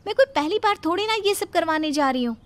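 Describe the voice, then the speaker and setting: A woman's voice: extremely high pitch, expressive, fast talker, pitched above the performer's own voice. A woman voicing a headstrong, self-assured young nurse and daughter-in-law, confident and dismissive, in a cartoon story. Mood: defiant. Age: young adult. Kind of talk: cartoon moral story voice acting.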